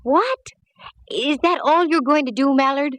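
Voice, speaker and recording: A woman's voice: high-pitched, unhurried. An actress playing a sassy, confident female private eye in a 1940s radio detective drama, with wry, slangy delivery. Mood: indignant. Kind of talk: 1940s radio detective acting and first-person narration. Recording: vintage 1940s radio recording.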